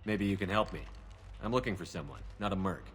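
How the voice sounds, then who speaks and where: A cool sci-fi game commander, with a low voice. He is terse and controlled, speaking briskly over faint background noise. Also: animated. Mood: calm, businesslike. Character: cool, commanding male sci-fi soldier hero. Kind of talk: video game acting.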